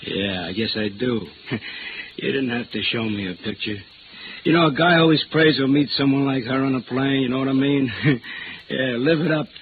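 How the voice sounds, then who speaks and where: A man's voice: mid-range voice, moderate pace, lightly acted. An actor playing a cocky, chummy salesman with breezy slang in an old radio drama. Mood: smug, amused. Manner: slangy, breezy, chuckling talk. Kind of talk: 1950s radio drama acting. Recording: tinny, noisy old radio recording.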